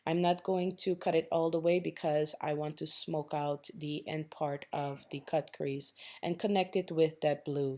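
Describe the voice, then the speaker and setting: A woman with a noticeably low-pitched voice, raspy and a little hoarse, talks viewers through a makeup look one step at a time. She keeps it casual and chatty, speaking straight to her audience and checking in with them as she goes. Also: dark tone. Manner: chatty, addresses viewers directly, tags lines with "okay?". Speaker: makeup tutorial host. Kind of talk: casual step-by-step tutorial narration.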